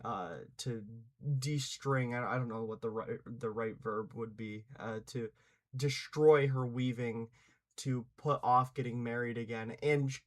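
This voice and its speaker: A man's voice: mid-range voice, a bit bright. Hesitant young man musing aloud. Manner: hesitant, many restarts and fillers, swears for emphasis. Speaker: channel host giving opinions. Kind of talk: casual unscripted commentary.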